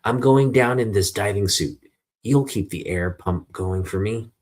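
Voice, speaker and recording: A man's voice: lowish voice, a little slow, a little mellow. A man voicing an eager, daring young cub reporter with boyish, exclamatory wonder as he reads a comic book aloud. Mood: determined.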